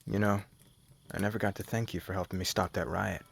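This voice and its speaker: A man playing a tough but tender gang leader in a soft-spoken, intimate ASMR roleplay. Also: fairly even intonation, brisk, full character voice. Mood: earnest, measured. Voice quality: low, gravelly. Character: tsundere male gang leader, tough yet tender lover.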